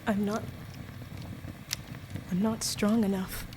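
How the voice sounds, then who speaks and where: A woman acting in a radio play as a captive young goddess, weary, desperate and quietly defiant, with halting emotional delivery. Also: mid-range voice, level tone, noisy background, lightly acted.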